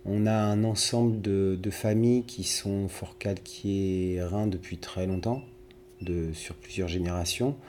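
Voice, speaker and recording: Low, mellow-voiced school principal man. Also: steady tone, slight background noise. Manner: measured, with small restarts. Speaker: kindergarten principal. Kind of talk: interview statement.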